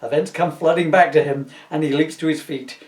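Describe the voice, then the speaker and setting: Middle aged guy doing a jokey story reading, highish voice, roomy echo, giggly. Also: steady tone, a little fast, darkish tone. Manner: giggly, jokey, self-interrupting. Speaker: author reading own comedy book aloud. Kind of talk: comic storybook reading with chatty asides. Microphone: camera at some distance. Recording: roomy echo, distant microphone.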